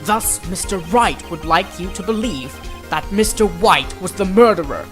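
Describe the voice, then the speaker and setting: A man voicing a composed, condescending prosecutor in a courtroom game fandub, speaking formally and deliberately. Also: high voice, fairly bright tone, soft background music. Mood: confident, arguing. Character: composed, haughty male prosecutor, condescending and exacting.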